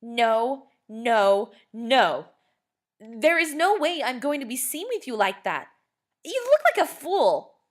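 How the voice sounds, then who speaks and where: A woman voicing a bossy, dramatic teenage girl in a storybook reading, high and loud when upset. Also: very animated, unhurried, exaggerated voice. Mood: exasperated. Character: bossy teenage sister in a fairy costume.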